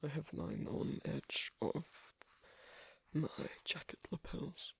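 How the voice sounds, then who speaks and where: A performer voicing an awkward, asocial werewolf in an ASMR roleplay, speaking in a husky half-whisper with halting, terse phrases, as a non-native English speaker. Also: mid-range voice, animated, dry recording, exaggerated voice. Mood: shyly fond. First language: not English. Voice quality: breathy, husky. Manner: halting, terse, quiet instructions with clipped grammar. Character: asocial, awkward werewolf teaching sewing on a date.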